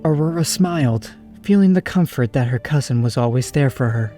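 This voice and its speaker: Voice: medium pitch, expressive, normal speed, warm tone. A narrator reading scripted storybook prose for an audio drama, over music.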